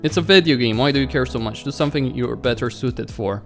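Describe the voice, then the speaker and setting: Male reader as weary young esports hopeful, brooding game narration at medium pitch, music underneath. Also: fast, vocal fry, a bit bright. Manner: brooding, self-questioning. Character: self-doubting, weary young male pro gamer narrating his thoughts. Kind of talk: visual novel read-aloud.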